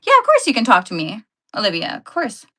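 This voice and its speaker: A woman's voice: fairly high pitch, huge pitch swings, fast, pushed and bright. A woman hosting a casual live stream, chatting with viewers and answering their comments, laughing often and correcting herself as she goes. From Canada.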